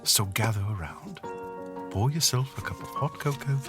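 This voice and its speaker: A man playing a storybook narrator, telling a scripted holiday tale in a deliberate, dramatic way. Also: mid-range voice, animated, music in the background, natural voice. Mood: inviting, mysterious.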